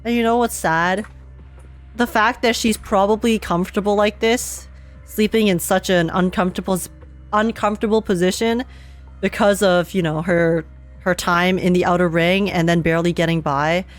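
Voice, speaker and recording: A woman's voice: lowish voice, fairly even intonation, moderate pace, soft background music. A woman streaming a game, giving live reaction commentary and thinking aloud in a rambling way.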